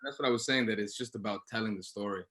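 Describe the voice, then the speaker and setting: A man's voice: on the low side, fast talker, fairly bright tone. A man chatting casually as a panel guest, with informal, loose phrasing and repeated words. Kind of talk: casual unscripted panel talk.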